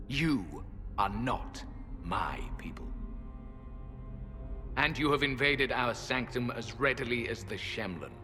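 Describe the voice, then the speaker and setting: A man's voice: mid-range voice, bright, forceful tone. An actor playing an ancient, stern male elven sentinel who speaks in a solemn, formal, cold way. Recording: long hall reverb. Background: faint music.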